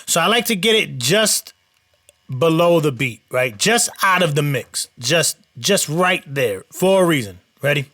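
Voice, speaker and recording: A man's voice: fairly high pitch, a little slow, no echo, faint music behind. A man with a nasal voice, hosting a casual studio tutorial on mixing. He talks loosely and rambles a little, with plenty of fillers. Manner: relaxed, rambling explanations with fillers. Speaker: mixing engineer and channel host. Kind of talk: casual screen-share tutorial.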